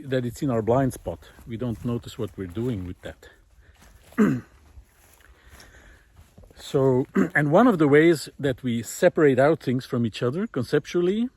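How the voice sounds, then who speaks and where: A middle-aged man thinking aloud in an informal, reflective monologue, often pausing mid-phrase as he searches for words. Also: mid-range voice, a little mellow, no echo. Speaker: art teacher giving a recorded monologue. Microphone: close recorder carried while walking.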